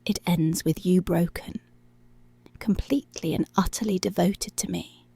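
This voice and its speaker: A woman's voice: on the low side, steady tone, dark tone, dry recording, heavily acted. A woman playing a dominant, teasing policewoman in an intimate, half-whispered ASMR roleplay. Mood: domineering. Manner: slow, breathy half-whispered, possessive teasing.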